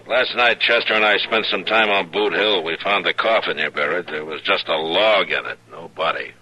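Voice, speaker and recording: Western marshal: bass register, hard-edged and bright, steady, no-nonsense, vintage radio drama. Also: male voice, normal speed. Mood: calm, firm. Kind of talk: old-time radio western acting. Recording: faintly noisy old radio recording.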